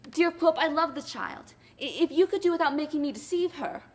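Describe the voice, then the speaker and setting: A shy, submissive wife who speaks gently and hesitantly, stumbling over her words, as a woman reader puts her on. Her voice sits high and soft. It is a nervous storybook character. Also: expressive, a little fast. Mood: frightened.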